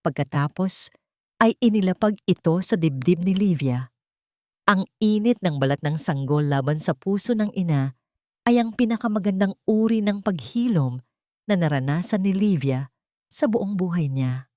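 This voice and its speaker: A woman's voice: sits low, a little mellow, no room sound, clean studio sound. A woman with a Filipino accent reads a scripted dramatic audio story as the storyteller.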